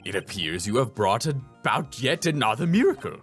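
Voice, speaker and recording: A man voicing a solemn elderly courtroom judge in a video game, slow and formal in manner. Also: medium pitch, very animated, fairly bright tone, faint music behind, pitched below the performer's own voice.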